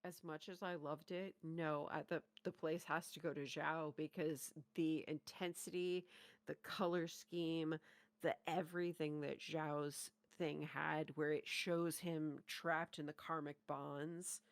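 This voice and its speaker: A woman's voice: deep voice, level tone, takes it slowly, no room sound. A woman co-hosting a reaction video, chatting and commenting casually.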